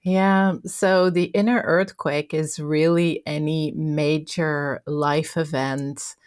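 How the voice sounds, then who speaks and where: A low-pitched middle-aged woman speaks with a Belgian accent, answering interview questions thoughtfully and pausing often on ums. Her voice is close and dry, with no room sound around it. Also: fairly even intonation, clear, resonant tone, dry recording. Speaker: podcast guest.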